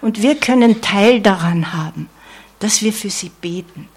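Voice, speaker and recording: A woman delivers a sermon at a slow, deliberate pace, checking in with her listeners through small "ja?" asides. Her voice is breathy and soft-edged, and it often falls to a near whisper before rising again with emphasis. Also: medium pitch. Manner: earnest, with frequent "ja?" asides and dropped whispers. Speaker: preacher.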